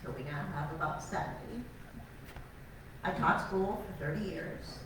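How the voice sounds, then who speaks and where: A woman's voice: low-pitched, measured pace. An older woman, a retired teacher turned cattle farmer, telling personal stories to an audience in a chatty, emotional, self-deprecating way. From born in Alabama, raised on Long Island. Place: large meeting hall. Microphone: far room mic. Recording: echoey hall from far off, noisy.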